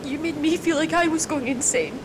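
A woman acting in an audio drama as a hurt, exasperated partner, with a curt, blunt, sweary way of talking. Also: close to an everyday voice. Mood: hurt, angry. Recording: rushing surf-like rumble noise.

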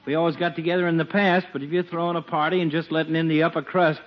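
Male actor as wisecracking small-town barber, slightly high voice, folksy, touchy, muffled 1940s radio comedy. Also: little pitch movement, quick pace, close to an everyday voice. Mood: hurt, wheedling. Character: wisecracking, folksy, easily hurt neighbourhood barber.

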